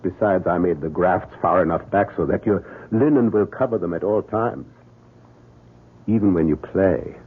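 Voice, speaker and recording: An actor playing a kindly, fatherly German surgeon in an old-time radio drama, with an emphatic, deliberate delivery and a German accent. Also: low-pitched, slightly rough. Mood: gentle, encouraging. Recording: narrow, tinny vintage radio recording.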